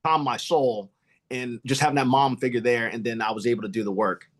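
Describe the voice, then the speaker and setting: A man's voice: medium pitch, fairly even intonation, a little fast. An American man telling a personal story casually, with a sincere, candid manner. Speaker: podcast host, actor.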